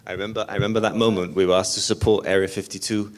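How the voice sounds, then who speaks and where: A man's voice: fairly low pitch, level tone, racing. A man with a slightly whiny edge, chatting casually and self-deprecatingly as a musician being interviewed. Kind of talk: casual anecdotal interview talk.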